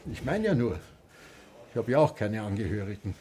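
An actor playing a grumpy, rambling old Austrian man in a sanatorium, with halting speech, in a radio drama. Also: lowish voice, big pitch swings, dark tone, a little hiss or hum. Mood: querulous. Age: older. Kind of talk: radio drama acting with inner monologue.

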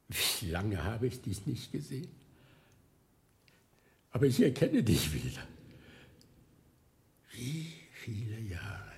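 An actor playing a frail, fervent old monk in a radio drama, solemn and full of feeling. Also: male voice, lowish voice, darkish tone, exaggerated voice. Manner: emotional, solemn, breaking into whispers.

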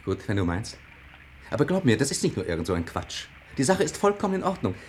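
Man playing an earnest, insistent lad, lively intonation. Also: mid-range voice, quick pace, a little hiss or hum, natural voice. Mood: earnest, instructing. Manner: insistent, instructing, pleading. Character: earnest, bossy, pleading clever boy. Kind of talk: German radio play acting.

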